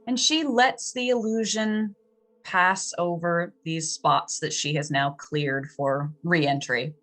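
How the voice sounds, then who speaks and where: A woman's voice: on the low side, slow pace, fairly bright tone. A woman acting as game master, improvising descriptive narration for a tabletop roleplaying game. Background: music.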